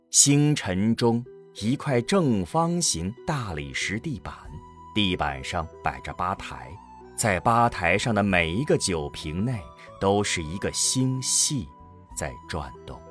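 A man's voice: on the low side, sing-song, slow pace, faint music behind. A man narrating a scripted science fiction audio drama as a storyteller.

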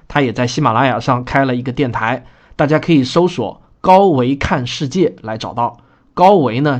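A man hosting a podcast, addressing listeners in an orderly, explanatory way as he presents scripted show notes. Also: mid-range voice. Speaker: podcast host and radio drama writer. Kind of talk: scripted spoken show commentary.

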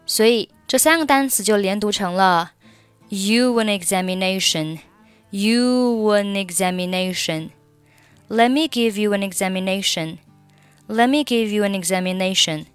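A woman teaching English pronunciation, reading dialogue lines and explaining sound linking with slow, careful repetition, a Mandarin speaker. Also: medium pitch, clean tone, dry recording, soft background music. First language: Mandarin Chinese.

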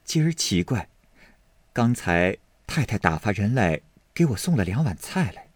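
A man voicing a modest, polite young maidservant who speaks softly, in a dry close recording. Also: lowish voice, sing-song, normal speed, dry recording.

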